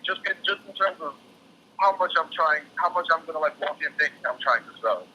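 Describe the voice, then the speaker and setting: A young man with a very bright, sharp voice speaks on a faintly noisy phone call. As a job applicant he sounds casual and deferential, feeling his way through questions and rephrasing as he goes. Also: fairly high pitch, expressive, a little fast. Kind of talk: casual phone interview talk.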